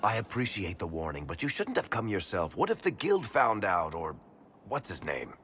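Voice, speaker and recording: A man's voice: lowish voice, big pitch swings, normal speed, fairly bright tone, a little hiss or hum. An actor playing a worldly male dwarf rogue in a video game, dry, guarded and self-deprecating. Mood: concerned. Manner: dry, wry. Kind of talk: video game cutscene acting.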